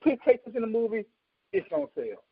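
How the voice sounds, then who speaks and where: A man's voice: very high-pitched, sing-song, fairly quick, slightly rough, dry recording. A man in his thirties chatting casually and emphatically as a podcast co-host, giving enthusiastic opinions. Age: 30s. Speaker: co-host of a video game podcast. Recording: slightly dull, dry radio-like sound.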